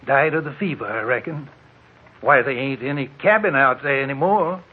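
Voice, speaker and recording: Man playing rustic old desert local, scoffing, knowing, rough voice, 1940s radio drama, tinny narrow sound. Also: mid-range voice, moderate pace, natural voice. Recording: tinny vintage radio recording.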